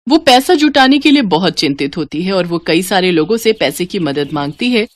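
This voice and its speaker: Expressive woman narrating a cartoon story. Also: lowish voice, normal speed, dry recording.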